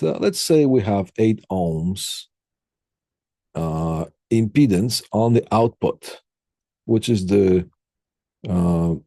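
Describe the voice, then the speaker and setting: A man giving an informal technical lecture as an instructor, explaining step by step in a halting way and putting questions to students. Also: fairly low pitch, dark tone, breathy edge, no room sound.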